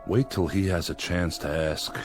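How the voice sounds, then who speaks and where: Very deep, weary, sardonic man. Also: fairly even intonation, moderate pace, over music. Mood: wry, sardonic. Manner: wry, sardonic, commanding. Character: weary, sardonic male survivor, cautious authority figure. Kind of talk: radio drama acting.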